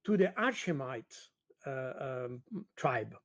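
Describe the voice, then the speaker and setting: A man giving analysis as an interview guest, halting as he searches for words. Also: mid-range voice, big pitch swings, a bit bright.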